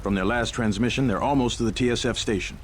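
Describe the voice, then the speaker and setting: A man playing a composed, dutiful army major who speaks in a measured, formal way in a video game. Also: mid-range voice, a little hiss or hum, close to an everyday voice. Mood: earnest, composed.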